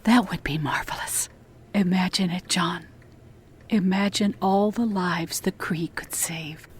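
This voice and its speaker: Farm wife for audio drama, female actor: slightly low voice, practical, welcoming, faint noise in the recording. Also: unhurried, lightly acted. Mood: amazed, hopeful. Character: hospitable, practical farmer's wife and mother.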